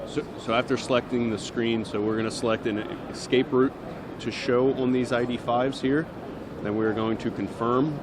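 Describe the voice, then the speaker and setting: A man's voice: medium pitch, steady tone, normal speed, background noise. A man giving an unscripted, step-by-step demonstration of a product. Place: indoor trade show booth. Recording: busy trade show crowd behind.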